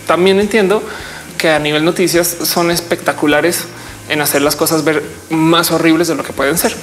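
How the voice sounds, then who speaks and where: A woman's voice: sits low, fairly even intonation, normal speed, faint music behind. A woman in her thirties chatting casually to camera as a YouTuber, informal and reflective, wandering off on tangents. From Colombia. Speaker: YouTuber host answering viewer questions. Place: indoors, small office.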